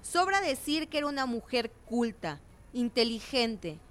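An actress voicing a knowledgeable historian in a radio drama, explaining things in an articulate, didactic way. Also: female voice, fairly high pitch, sing-song, unhurried, a bit bright. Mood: informative.

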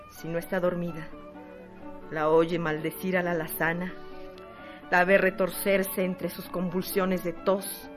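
A woman's voice: on the low side, close to an everyday voice. Actress as woman narrator over string music. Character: storyteller narrating a grim literary tale. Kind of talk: scripted literary radio drama narration.

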